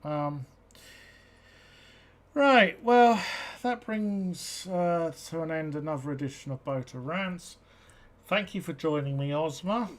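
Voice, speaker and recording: A woman's voice: deep voice, slow pace. A woman host with a British accent with a slight South African twang, chatting casually and hesitantly with many ums. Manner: hesitant, lots of ums and restarts. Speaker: show host. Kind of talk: casual chat.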